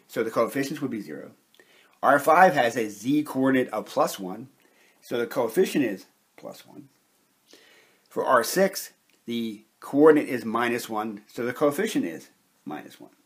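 A man giving a methodical, step-by-step instructional lecture, pausing between short phrases in a deliberate way. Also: mid-range voice, husky. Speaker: chemistry lecturer, presenter. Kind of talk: step-by-step instructional explanation at a whiteboard.

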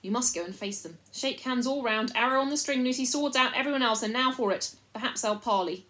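A woman voicing a decisive young king in a storybook, brisk and authoritative. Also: fairly low pitch, natural voice. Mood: commanding, rallying.

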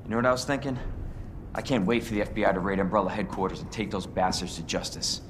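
A man plays an intense, protective young cop in a video game drama. He talks fast in a slightly low, earnest voice over loud music. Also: heavy background noise, close to an everyday voice. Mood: determined. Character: earnest, protective young male police officer.

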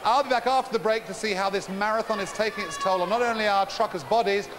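A man's voice: high-pitched, fast. A British man hosting a game show, chatting with contestants in a teasing, upbeat way. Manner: teasing, chirpy, laughs often. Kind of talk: upbeat presenter chat with contestants. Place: large indoor shopping centre studio set. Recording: noisy crowded venue sound.

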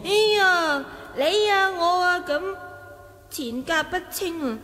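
A woman's voice: very high-pitched, slow pace, exaggerated voice. An actress with a Hong Kong Cantonese accent playing a coy, teasing young swordswoman in a 1980s radio drama. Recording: 1980 radio drama, loud music and crowd.

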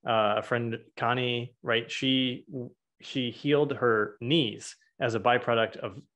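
A man in his thirties hosts the interview from home over a video call. He talks in a relaxed, halting way, restarting phrases and jumping in with questions. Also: mid-range voice. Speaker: podcast host. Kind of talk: casual interview chat. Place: home room. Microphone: video call with earbuds.

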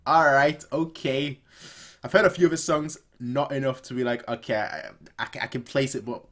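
A man's voice: mid-range voice, steady tone, moderate pace, a bit bright. A man in his twenties giving casual, unscripted reaction commentary from a home room, rambling and repeating his phrases. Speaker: reaction video host. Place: small home room indoors.

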